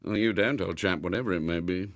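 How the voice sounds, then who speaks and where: A man's voice: low voice, fairly quick, no echo, pitched below the performer's own voice. A man voicing an unruffled, sardonic middle-aged British father in a dry, mock-polite manner for an audiobook. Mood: dry, dismissive. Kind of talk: dramatised audiobook reading.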